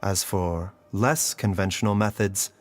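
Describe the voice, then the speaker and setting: An actor playing a composed, formal doctor in a video game, speaking in measured, courteous phrases with dry curiosity. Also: male voice, sits low, fairly even intonation. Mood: solemn, measured. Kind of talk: dramatic video game voice acting. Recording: music under the voice.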